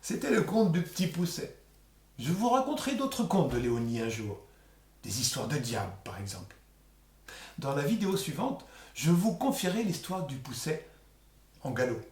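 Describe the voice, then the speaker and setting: A man's voice: a little high, big pitch swings, normal speed, long pauses. A man telling a folk tale aloud in a theatrical, colloquial oral storytelling style. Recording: echoey room.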